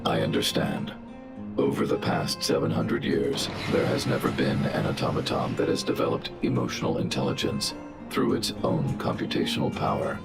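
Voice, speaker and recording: Svarog, deep gravelly robot. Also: male voice, normal speed, heavily acted. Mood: formal. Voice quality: deep mechanical monotone, precise. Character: huge calm robot overseer, male. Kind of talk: game cutscene voice acting. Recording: studio dub with music underneath.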